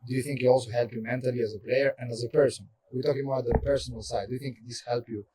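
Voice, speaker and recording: A man's voice: mid-range voice, steady tone, rapid-fire, warm tone, a little hiss or hum, poor audio. A man hosting a casual podcast interview, asking conversational, leading questions. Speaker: podcast host.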